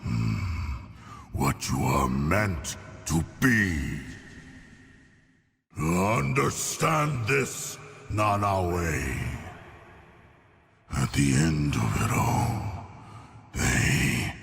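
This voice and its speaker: A man's voice: extremely low pitch, wildly swooping pitch, creaky, a bit bright, full character voice. A man playing a menacing, scornful sea ruler in a video game, theatrical, condescending and bitterly reproachful, in a long cavernous reverb. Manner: slow, theatrical, condescending, accusing. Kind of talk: video game villain dialogue.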